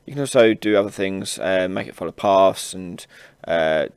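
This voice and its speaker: A man hosting an informal software tutorial, casually explaining each step as he demonstrates it on a close mic. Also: low voice, normal speed, clear, resonant tone, dry recording. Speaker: tutorial host. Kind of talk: informal screen-recorded software tutorial narration.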